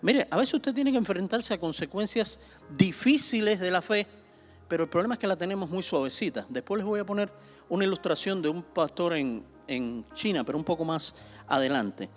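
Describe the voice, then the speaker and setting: Middle-aged Cuban male pastor, slightly high voice, chatty teaching with fillers, faint music underneath. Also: animated, fairly quick. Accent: Cuban Spanish. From Cuba. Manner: conversational, with many fillers and repetitions; rises sharply when stressing a point. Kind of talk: Bible seminar teaching.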